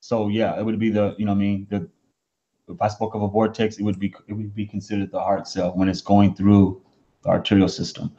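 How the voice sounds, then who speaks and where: A man calling into a live stream, speaking formally and haltingly with many restarts. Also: lowish voice, level tone, warm tone. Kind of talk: casual live-stream discussion. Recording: dull lo-fi call audio.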